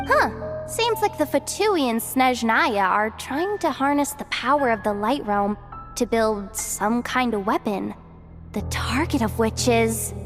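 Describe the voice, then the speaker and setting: A woman's voice: on the high side, long reverb tail. A woman voicing a cheeky, wry young adventurer in a video game, theatrical and sarcastic, muttering asides to herself. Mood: wry, exasperated. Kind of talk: video game cutscene voice acting.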